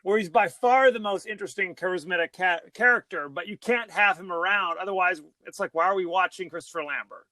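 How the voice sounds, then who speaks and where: A man's voice: high-pitched, a little fast, hardly any pauses, sharp tone, no echo. A man co-hosting a podcast, chatting casually and joking, often laughing and trailing off mid-sentence. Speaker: podcast co-host. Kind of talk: casual chat.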